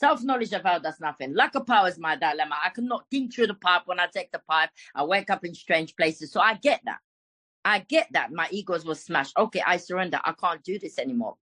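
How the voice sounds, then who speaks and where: A woman's voice: fairly low pitch, expressive, brisk, pushed and bright, no room sound. Frank, emphatic woman from Morocco. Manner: frank, emphatic, swears freely. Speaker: recovery meeting speaker. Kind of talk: personal recovery share.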